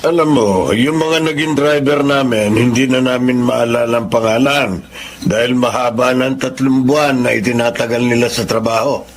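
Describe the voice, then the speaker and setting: A man's voice: mid-range voice, steady tone, moderate pace, slight background noise, natural voice. An actor playing a wealthy employer who talks to his driver in a commanding, curt way. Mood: grateful. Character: stern wealthy male employer.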